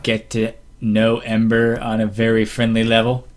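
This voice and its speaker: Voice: mid-range voice, completely flat delivery, normal speed. A podcast co-host in casual banter, talking in a teasing, joking way.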